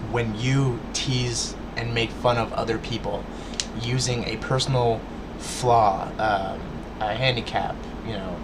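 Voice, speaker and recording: A man's voice: mid-range voice, fairly even intonation, measured pace, hardly any pauses, background noise. A man ranting to his viewers in a casual, earnest way, with a stutter and many restarts and fillers. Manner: earnest, lecturing, addresses viewers directly. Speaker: vlogger. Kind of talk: casual rant to camera.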